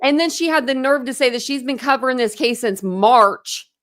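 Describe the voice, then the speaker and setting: A woman with a fairly high voice gives casual video reaction commentary. She is blunt and openly scornful, and the sound is dry with little room around it. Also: moderate pace, fairly bright tone, dry recording. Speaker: YouTube commentator reviewing another creator's livestream.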